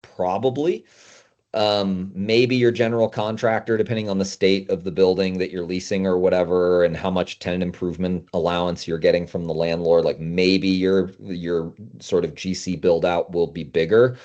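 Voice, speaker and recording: Male consultant, low-pitched, casual and emphatic advisor, slightly lo-fi recording. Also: flat delivery, moderate pace, a little mellow. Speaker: guest consultant.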